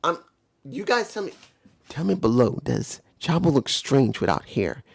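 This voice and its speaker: A man's voice: mid-range voice, very animated, measured pace, vocal fry. A man giving a casual solo review of a wrestling show, rambling and correcting himself as he stumbles over names.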